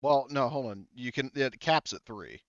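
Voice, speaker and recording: A man running a tabletop game as game master, pausing often with ums while he counts dice and tracks the turn. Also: medium pitch, animated, no room sound, muffled recording. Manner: halting, many ums, counts dice aloud.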